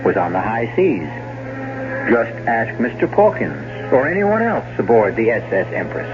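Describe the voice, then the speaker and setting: A man's voice: fairly low pitch, huge pitch swings, unhurried. A man narrating a scripted 1970s radio mystery as a suave, ironic, theatrical storyteller. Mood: wry, suspenseful. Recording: muffled old radio broadcast over music.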